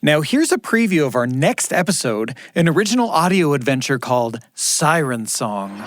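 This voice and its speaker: A man's voice: fairly high pitch, sing-song, moderate pace, noisy background. An American man co-hosting a podcast, speaking in a casual, reflective way at a close studio microphone. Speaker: podcast producer and co-host. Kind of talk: casual reflective chat and credit reading.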